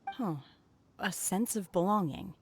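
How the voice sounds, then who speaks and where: A woman playing a reserved, solemn young female outsider in a video game, speaking quietly and politely. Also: medium pitch, measured pace, a little hiss or hum, lightly acted. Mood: reserved, pensive.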